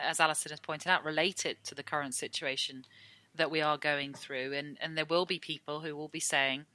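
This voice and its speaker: A woman's voice: low voice, bright, forceful tone, no echo, rough recording. A woman hosting a podcast, steering the discussion and addressing listeners in a presenter's style. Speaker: podcast host.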